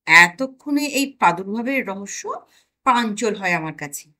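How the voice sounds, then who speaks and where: A woman's voice: mid-range voice, animated, moderate pace, bright, forceful tone. A woman storyteller giving a dramatized narration of a comic story. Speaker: audiobook storyteller.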